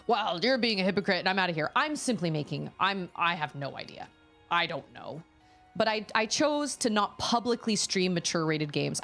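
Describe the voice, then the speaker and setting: A woman's voice: medium pitch, fairly bright tone. A woman streamer chatting casually at home while playing a game live, thinking aloud and restarting her sentences often. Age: adult parent.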